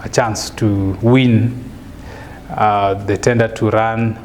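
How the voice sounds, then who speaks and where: A man in his thirties with a Kenyan accent, a guest in a studio interview, telling stories in a measured way with fillers and restarts, on a lapel mic. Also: on the low side, steady tone, slight background noise. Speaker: guest, author and business speaker. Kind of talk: storytelling interview answer. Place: studio set, seated in an armchair.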